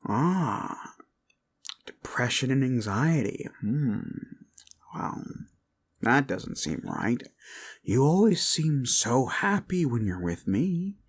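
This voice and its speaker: A man playing an obsessive, possessive boyfriend in an ASMR roleplay, creepily sweet and theatrical, coaxing with pauses and drifts into whispers. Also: medium pitch, big pitch swings, full character voice. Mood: suspicious, sweet.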